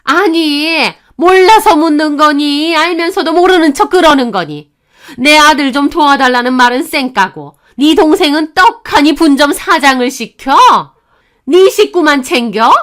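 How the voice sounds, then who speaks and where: Entitled middle-aged mother-in-law for an audio story, played by a woman: raised pitch, furious, scolding, accusatory shouting. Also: animated, measured pace. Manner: shouting, accusatory, firing rhetorical questions. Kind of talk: dramatized storytelling.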